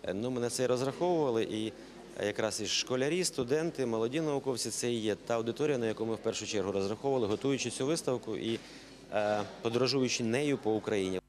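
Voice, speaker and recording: A man in his fifties answers a reporter in a news interview. His voice is of average pitch and his speech is very quick. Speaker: embassy adviser interviewee. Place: exhibition hall. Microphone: handheld reporter microphone.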